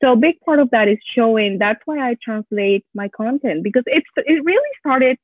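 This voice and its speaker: A woman from Latin America whose first language is Spanish, speaking English with a Spanish accent as a DIY content creator in a casual interview, quick and animated with many fillers. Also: a little high, no room sound. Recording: dry tinny phone-like line.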